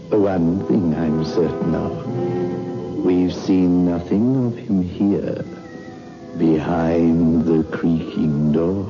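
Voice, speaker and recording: A sinister host of a radio horror series. He speaks extremely slowly and deliberately in a low voice. Loud music swells behind him on a dull vintage recording. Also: exaggerated voice. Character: sinister, slow-talking male horror show host. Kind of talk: 1960s radio horror narration.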